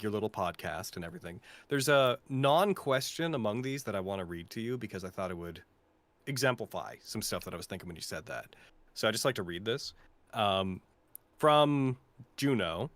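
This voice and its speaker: A man's voice: mid-range voice, animated, fairly quick, fairly bright tone, no echo. A man chatting casually and sincerely on a live Q&A, full of heartfelt praise. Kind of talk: casual, earnest chat and reading aloud.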